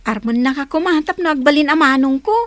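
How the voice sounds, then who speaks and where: A woman's voice: high-pitched, a bit bright, no room sound, full character voice. A woman playing a playful, teasing younger sister in an audio drama.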